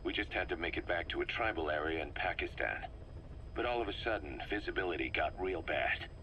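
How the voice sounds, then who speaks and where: An actor playing a grim, embittered mercenary commander, recounting things with intense deliberation in a dramatic video game style. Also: male voice, low voice, expressive, a little fast, sharp tone, dull audio. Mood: grim, haunted. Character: embittered, driven male mercenary commander briefing his boss. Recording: faint noise, cassette tape recording.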